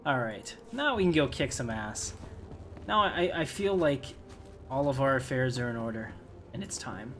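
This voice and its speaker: A man hosts a video game playthrough in an ordinary mid-range voice. He talks casually and thinks aloud to his viewers. Game music plays clearly behind his commentary. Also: mid-range voice, animated, normal speed. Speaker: gamer hosting a playthrough.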